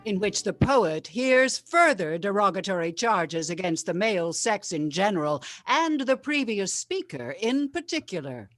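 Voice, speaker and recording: Woman announcer, scripted narration, very varied intonation, mid-pitched, soft guitar music underneath. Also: big pitch swings, unhurried, hardly any pauses, dry recording. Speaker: announcer introducing parts of the reading.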